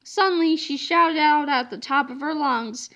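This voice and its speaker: A woman reading a children's book aloud as an expressive, emphatic storyteller. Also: high voice, normal speed, muffled recording.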